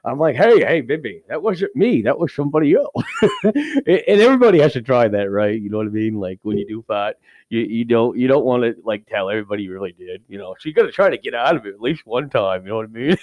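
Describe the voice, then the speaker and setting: A man's voice: medium pitch, sing-song, fast talker, darkish tone, no room sound, lightly acted. A man playing a rambling, cheapskate firefighter who tells crude stories and keeps cracking himself up. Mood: rowdy. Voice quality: crude, giggly, rambling storyteller. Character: rambling, cheapskate middle-aged male firefighter. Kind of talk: improvised character comedy.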